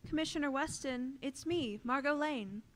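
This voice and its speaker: A woman's voice: high voice, normal speed, lightly acted. A woman playing a poised, polite, concerned heroine in a 1930s radio drama, speaking earnestly. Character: poised, concerned young lady companion of a vigilante. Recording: noticeable noise and clicks.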